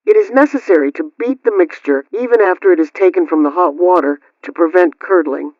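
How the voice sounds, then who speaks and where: High-pitched man reading a dull-sounding radio bulletin. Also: fairly quick, heavy background noise. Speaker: radio host presenting household advice. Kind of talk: scripted read narration, question-and-answer household bulletin.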